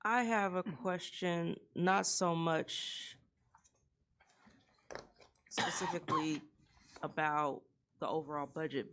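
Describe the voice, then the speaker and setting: A man who is the vice mayor, asking a deliberate, carefully phrased question at a council meeting. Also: a little high, fairly bright tone, a little hiss or hum.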